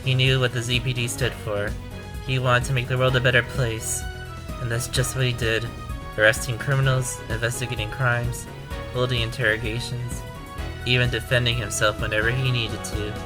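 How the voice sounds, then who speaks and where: Man acting an earnest defense attorney, mid-pitched. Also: level tone, normal speed, over music, lightly acted. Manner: rhetorical, emphatic arguing. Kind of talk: audio drama courtroom acting.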